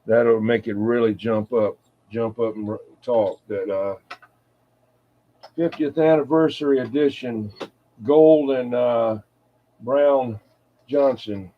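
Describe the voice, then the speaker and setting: A man's voice: medium pitch, slow pace, long pauses. A man chatting casually and folksily in a meandering way as a radio hobby show host. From United States East Coast. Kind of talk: casual rambling hobby chat. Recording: muffled, phone-like narrow sound.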